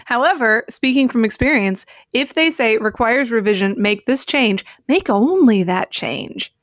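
A woman's voice: a little high, expressive, measured pace, no echo. Woman chatting casually in a dry room. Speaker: podcast co-host. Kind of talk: casual chat, explaining from experience.

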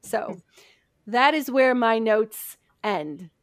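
A woman's voice: on the high side, expressive, slow, dry recording, clean studio sound. A woman hosting a podcast, casually telling history from her notes with chatty, self-deprecating asides. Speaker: podcast host presenting history research. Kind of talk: casual storytelling from notes among friends.

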